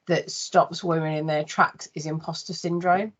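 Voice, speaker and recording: A woman with a Birmingham accent, chatty and animated, talking casually as an interviewer and acting out quoted remarks. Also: sits low, moderate pace, muffled recording. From Birmingham, England.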